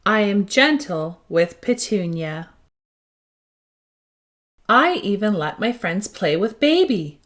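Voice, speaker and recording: A woman's voice: mid-range voice, big pitch swings, slow pace, pauses often, a bit bright. Woman voicing an eager, bouncy young puppy. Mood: earnest. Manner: exuberant, wide-eyed, childlike. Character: eager, naive young puppy narrating a picture book. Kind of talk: storybook read-aloud.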